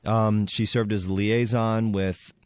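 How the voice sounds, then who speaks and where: A man with a hoarse voice, hosting an interview in a relaxed, chatty and halting way. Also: lowish voice, no echo, highs cut off. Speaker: show host. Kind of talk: casual interview.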